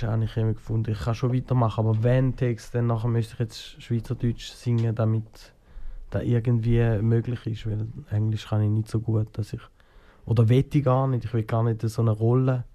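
A singer with a Schaffhausen Swiss German accent answering interview questions in a halting way, full of fillers and restarts. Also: male voice, mid-range voice, little pitch movement, warm tone. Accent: Swiss German, Schaffhausen dialect. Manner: hesitant, many fillers and restarts. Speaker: guest singer.

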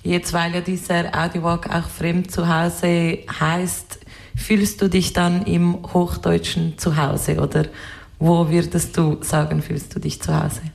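A woman's voice: lowish voice, flat delivery, slow pace, gravelly, a little mellow. A woman interviewing conversationally, putting her questions tentatively and rephrasing them as she goes. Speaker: interviewer, host.

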